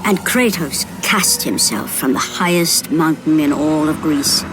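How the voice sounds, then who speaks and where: Narrator: portentous storyteller for a fantasy game, solemn and grave, over a musical score. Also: female voice, deep voice, expressive, a little slow, music in the background, low-quality recording. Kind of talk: scripted dramatic narration for a fantasy video game.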